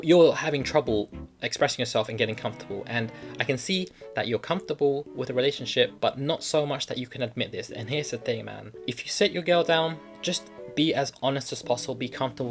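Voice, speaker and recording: A young man hosts an advice show, talking at a middle pitch. He chats loosely, laughs easily and stumbles over his own sentences. Soft music plays faintly behind him. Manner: casual, chatty, laughs easily, with frequent restarts. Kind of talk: reading and answering a viewer email.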